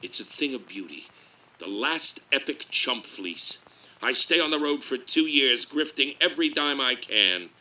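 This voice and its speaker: A man's voice: medium pitch, expressive, measured pace, sharp tone, poor audio. A man playing a brash, grandiose exploitation-film producer, boastful and hammy like a carnival barker. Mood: proud. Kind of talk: 1950s showman's pitch and memoir-style storytelling.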